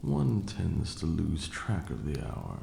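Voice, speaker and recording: Man voicing a gravelly, abyssally deep vampire lord. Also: wildly swooping pitch, mellow, roomy sound, a little hiss or hum, heavily acted. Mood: wry, reflective. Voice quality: gravelly, breathy murmur. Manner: theatrical, deliberate, brooding. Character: ancient brooding male vampire lord, cruel yet grieving. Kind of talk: slow dramatic ASMR roleplay monologue.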